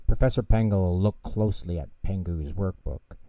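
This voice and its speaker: Dark, mellow-voiced man reading bedtime stories. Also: low-pitched, normal speed, dry recording. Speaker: storyteller, narrator. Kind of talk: expressive children's bedtime story narration. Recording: dull, lo-fi close recording.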